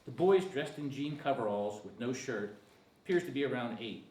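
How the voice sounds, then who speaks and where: A man's voice: medium pitch, animated, moderate pace, a little mellow. A man narrating screenplay directions at a table read, sometimes stumbling over the text. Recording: echoey room, faint noise.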